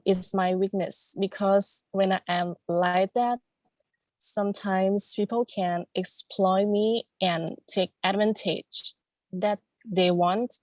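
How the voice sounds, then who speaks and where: Slow, careful young Thai woman. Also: medium pitch, no room sound. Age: young adult. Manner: calm, careful, formal wording. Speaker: university student. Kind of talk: mock job interview answers.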